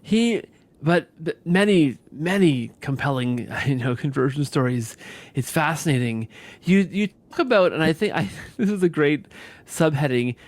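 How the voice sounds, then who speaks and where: A man's voice: a little high, animated, normal speed. Man hosting, rambling casual interviewer. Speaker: podcast host, interviewer.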